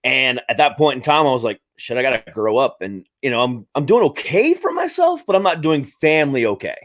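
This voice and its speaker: A man's voice: medium pitch, very animated, brisk. A man in his late thirties hosting a podcast, talking casually in a rambling way with many fillers and restarts. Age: 30s. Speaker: podcast host. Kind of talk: casual interview chat.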